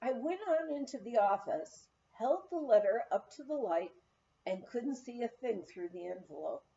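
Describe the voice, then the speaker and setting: A woman reading a memoir aloud in an expressive storytelling manner, on a slightly dull recording. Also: a little high, moderate pace, gravelly. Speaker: audiobook reader.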